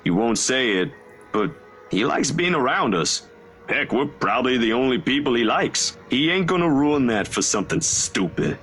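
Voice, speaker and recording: An actor voicing an easygoing, loyal friend with a relaxed, drawling manner. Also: male voice, medium pitch, normal speed. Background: faint game music.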